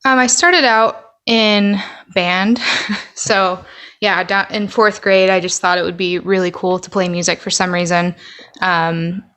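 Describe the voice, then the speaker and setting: A woman's voice: medium pitch, steady tone, measured pace, a bit bright, smooth. A woman with an American accent chatting casually as a musician guest on a podcast. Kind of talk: casual conversational interview answers.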